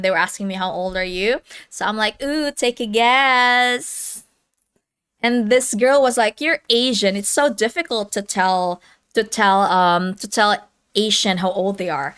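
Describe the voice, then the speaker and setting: A woman's voice: medium pitch, fairly bright tone, smooth. A woman in her thirties hosting a casual livestream, chatting with viewers, laughing often and reading the chat aloud. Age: 30s. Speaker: livestream host.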